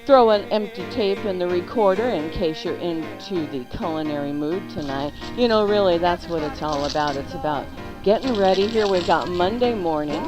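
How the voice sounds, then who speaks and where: A woman's voice: lowish voice, moderate pace, poor audio. A woman hosting a live morning radio show with a theatrical, playful announcer's delivery. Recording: loud music behind the voice. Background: music.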